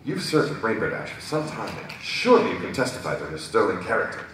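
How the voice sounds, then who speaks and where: A man's voice: fairly low pitch, huge pitch swings, fast, fairly bright tone, very echoey, distant mic, slight background noise, voice pushed up, rough recording. A man voicing a prim, anxious female pony lawyer with refined, formal and indignant diction at a script reading.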